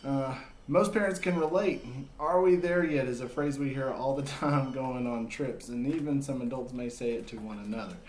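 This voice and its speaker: A man's voice: mid-range voice, normal speed, few pauses, roomy sound. A man hosting a children's story read-aloud, speaking expressively and encouragingly to young listeners.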